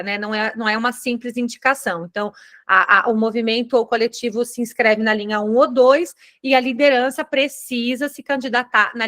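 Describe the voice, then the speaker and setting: A woman's voice: fairly high pitch, a bit bright. A woman moderating a virtual Q&A forum on a video call, explaining things in a friendly way and often adding tag questions. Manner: friendly, explanatory, frequent "né" tags. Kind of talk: online meeting moderation.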